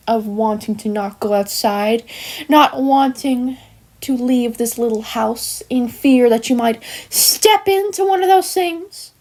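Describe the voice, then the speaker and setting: A woman voicing a smug, villainous cartoon sheep creature who taunts in a theatrical, mocking manner with drawn-out words and hesitant asides. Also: sits high, heavily acted. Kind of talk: scripted character monologue for a fan voice-over.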